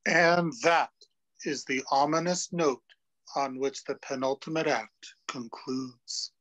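A middle-aged man narrates dramatically from a script on a video call. His voice is a little high and his pace is slow. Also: fairly even intonation, fairly bright tone.

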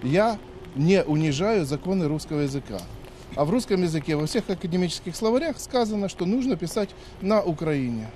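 A man's voice: sits high, lively intonation, fairly quick, warm tone. A man in his fifties answering a reporter on the street, lecturing and argumentative, with frequent hesitations. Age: 50s. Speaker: dictation participant. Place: outdoors on a city street. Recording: faint street noise.

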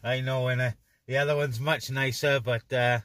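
A man's voice: mid-range voice, fairly even intonation, normal speed, a bit bright, no echo, clean studio sound. A man operating a CB radio in casual on-air chat, with informal, chummy sign-offs. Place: inside a parked car on open moorland. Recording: noisy in-car recording with clicks.

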